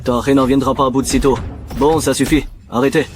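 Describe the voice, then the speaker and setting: A man voicing a cold, ruthless cat gang boss in a dubbed animated series, commanding and menacing. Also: medium pitch, fast talker, faint music behind, natural voice. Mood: measured, appraising.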